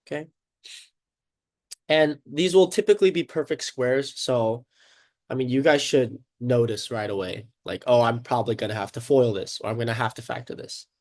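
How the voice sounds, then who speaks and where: A man's voice: mid-range voice, moderate pace, darkish tone. A congested, sniffling man teaching a casual math lesson at a board, upbeat and chatty, often checking in with "okay" and "right?".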